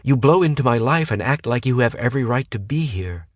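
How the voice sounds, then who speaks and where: A man voicing a sharp-tongued, sarcastic young woman with a mocking way of talking. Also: mid-range voice, animated, a little fast, no echo, voice pushed up, lightly acted. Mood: sassy. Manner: sassy, mocking.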